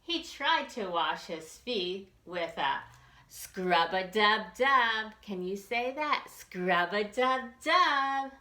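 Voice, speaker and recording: A woman's voice: medium pitch, animated, extremely slow, pushed and bright, reverberant. A woman reading aloud at a children's storytime in a sing-song, exaggerated way, inviting the children to join in.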